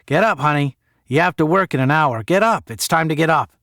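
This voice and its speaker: A man plays a caring mother in an audio story, gently nagging her grown son. The voice is lifted high, homely and coaxing, recorded dry. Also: expressive, a little fast, dry recording.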